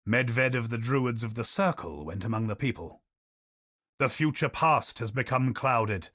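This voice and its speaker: An actor voicing a solemn male chronicler in a game, telling ancient history in a grave, measured way. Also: medium pitch, no echo, clean studio sound, lightly acted. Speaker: game character narrator. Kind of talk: scripted lore narration.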